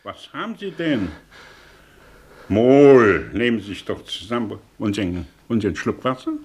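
An actor playing a cynical, eloquent middle-aged professor in a mid-century radio play, with a theatrical, sardonic delivery. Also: male voice, on the low side, expressive, moderate pace, darkish tone, husky. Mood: alarmed, agitated.

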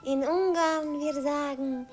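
An actress playing a sweet, playful young Hungarian girl in a radio play, speaking halting German with a Hungarian accent and mixing up words. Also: high-pitched, steady tone, soft background music. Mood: earnest. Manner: broken, halting German with wrong word order.

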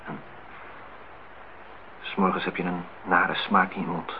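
A lonely, brooding engineer in a radio play. His voice sits fairly low and he has an insistent, melancholy temper. The recording is muffled, with some hiss and noise. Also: flat delivery, measured pace. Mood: brooding, wistful. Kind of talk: radio play dialogue and monologue.

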